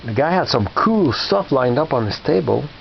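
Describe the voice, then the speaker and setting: A man in his fifties rambling casually through his flea market finds, halting with many fillers and restarts. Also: mid-range voice, big pitch swings, vocal fry. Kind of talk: casual rambling show-and-tell. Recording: muffled with faint hiss.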